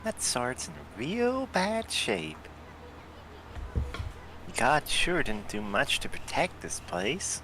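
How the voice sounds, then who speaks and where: A man's voice: mid-range voice, wildly swooping pitch, takes it slowly, pauses often, noisy background. A man hosting a game playthrough, reading a companion's lines aloud in a playful way with friends. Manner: playful, voicing a companion character. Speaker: let's play host.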